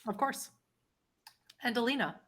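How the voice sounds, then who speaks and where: A woman's voice: a little high, animated, measured pace, fairly bright tone. A woman speaking as game master of a streamed tabletop roleplaying session, agreeing readily with players.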